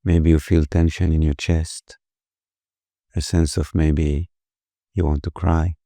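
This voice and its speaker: A man leading a guided meditation in a slow, gentle, soothing manner, with pauses between phrases. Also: extremely low pitch, flat delivery, no echo, clean studio sound.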